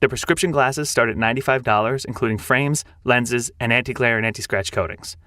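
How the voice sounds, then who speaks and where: A man's voice: mid-range voice, fairly quick, pushed and bright, no echo. A male podcast host reads a sponsor ad in an upbeat, conversational tone. His voice sits mid-range. It is recorded close and dry, with no room sound. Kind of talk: sponsor read.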